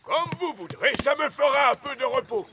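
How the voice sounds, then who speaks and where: A man's voice: very high voice, expressive, moderate pace, noisy background. An actor playing a jolly, bossy old rabbit boatman in a French children's cartoon, calling out theatrically. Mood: easygoing.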